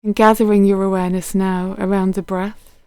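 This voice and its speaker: A woman guiding a body scan meditation in a gentle, unhurried, soothing manner, letting her phrases end softly. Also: mid-range voice, flat delivery, clean tone, no room sound, clean studio sound. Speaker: meditation guide.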